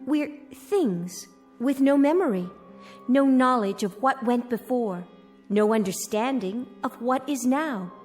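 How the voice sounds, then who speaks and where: An actress playing a resigned, enigmatic ballerina in a radio drama, speaking in measured, solemn phrases. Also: female voice, fairly high pitch, expressive, darkish tone, faint music behind. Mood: grave, resigned. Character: resigned, enigmatic female ballerina, calm and grave.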